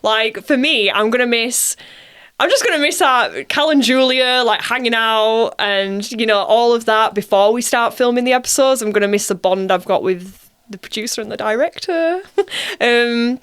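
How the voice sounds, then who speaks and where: A woman's voice: a little high, moderate pace, fairly bright tone, smooth. A woman with a northern English accent from Bradford, chatting casually as an interview guest in an informal way, retelling things in reported speech. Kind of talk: casual chat.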